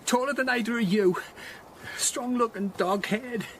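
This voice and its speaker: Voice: mid-range voice, measured pace, slight background noise, natural voice. An actor playing a frightened young stable boy in a radio drama, pleading and deferential. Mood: anxious, pleading.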